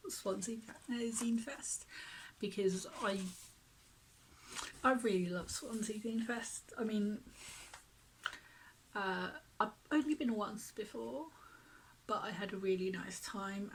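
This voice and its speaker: Non-native speaker, Finnish first language, young adult; slow, breathy, hushed open-mic reader. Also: female voice, mid-range voice, poor audio. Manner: soft-spoken, often half-whispered, informal with swearing. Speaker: zine maker reading their work. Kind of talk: casual reading at a virtual open mic.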